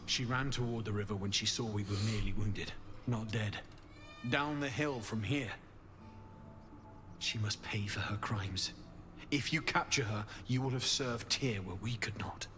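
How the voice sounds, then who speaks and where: An actor playing a grave, vengeful holy knight in a fantasy game, speaking formally and urgently. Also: male voice, lowish voice, a little slow, sharp tone, soft background music. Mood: solemn plea. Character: grave, wounded self-proclaimed paladin, pious and vengeful. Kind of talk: dramatic fantasy game dialogue.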